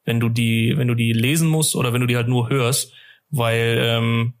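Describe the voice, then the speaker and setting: A man's voice: medium pitch, little pitch movement, normal speed. A man co-hosting a casual fan podcast, telling stories in a chatty, rambling, slangy way. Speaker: podcast co-host.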